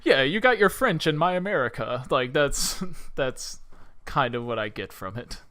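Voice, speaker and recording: A man's voice: medium pitch, lively intonation, moderate pace, pushed and bright. A man co-hosting a film podcast, talking casually and animatedly, often with a giggle in his speech.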